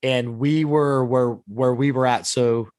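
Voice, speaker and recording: A hoarse man co-hosting a podcast, recapping a trade show in long rambling stretches with many restarts. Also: medium pitch, steady tone, slow pace, darkish tone, no echo, clean studio sound. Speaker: podcast co-host. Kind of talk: casual podcast chat.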